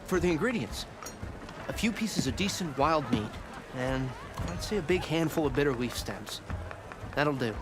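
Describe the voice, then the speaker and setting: An actor playing a fussy, put-upon but polite camp cook in a video game, with formal, wordy speech. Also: male voice, a little high, lively intonation, moderate pace, noisy background, natural voice. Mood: courteous, harried. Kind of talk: video game dialogue acting.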